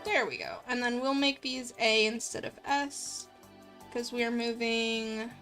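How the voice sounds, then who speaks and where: A woman with a high voice hosts a casual livestream over background music. She thinks aloud in an informal, rambling way, a bit self-conscious as she goes. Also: unhurried. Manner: informal, rambling, self-conscious, giggly, mutters while working. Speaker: livestream host and visual novel writer. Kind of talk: casual livestream chat while coding and reading viewer comments. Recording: music playing behind the voice.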